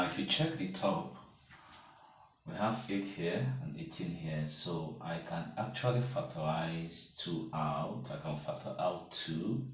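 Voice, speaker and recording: A man tutoring a math lesson step by step, patiently checking whether the viewer follows. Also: sits low. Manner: patient, checks in with "okay?" and "can you see that?". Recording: echoey room, mic far off.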